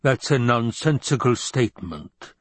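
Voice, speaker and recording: A man voicing a fat, scheming baron, pompous and menacing, with a rumbling bass voice in an audiobook story. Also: lively intonation, no echo. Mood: stern. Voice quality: basso, rumbling. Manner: slow, pompous, savoring delivery.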